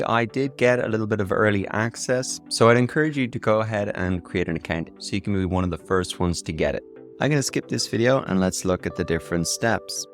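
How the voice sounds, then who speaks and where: An Irish man hosting a casual software tutorial in an enthusiastic way. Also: lowish voice. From Ireland. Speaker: tutorial video host.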